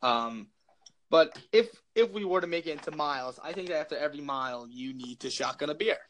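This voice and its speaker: Young male co-host, slightly high, loud projecting voice, rambling filler-heavy banter, faint hiss behind. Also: lively intonation, a little fast. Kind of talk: casual chat.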